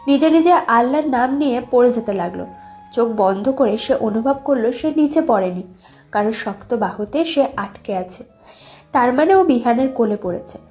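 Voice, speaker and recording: Casting: female story narrator, high voice, scripted romantic fiction, faint music bed. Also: a little mellow.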